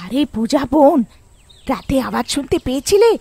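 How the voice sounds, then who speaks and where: A woman's voice: high-pitched, big pitch swings, moderate pace, vocal fry, mellow, no echo, heavily acted. A woman voicing gossipy, timid village housewives in a fairy-tale cartoon, chatty and exclaiming. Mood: nervous gossip.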